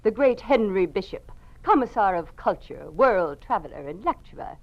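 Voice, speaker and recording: An actress playing a spiteful, gloating party official at a school in an old-time radio drama, sneering and mocking. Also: female voice, mid-range voice, very animated, normal speed. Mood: vindictive. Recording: muffled old radio recording.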